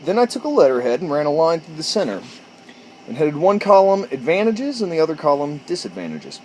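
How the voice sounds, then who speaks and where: A man's voice: fairly high pitch, very animated, brisk, warm tone, slight background noise. A man chatting casually and reading aloud on an outdoor porch, informal and sometimes stumbling over words and correcting himself. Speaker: amateur storyteller and video host.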